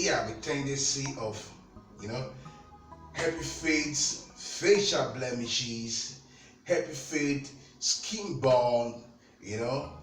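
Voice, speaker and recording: A man with a Nigerian accent talking casually to camera about skincare, animated and repetitive, speaking straight to his viewers. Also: a little high. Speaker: skincare seller. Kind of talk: casual product talk to camera. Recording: echoey room, mic at a distance, faint music.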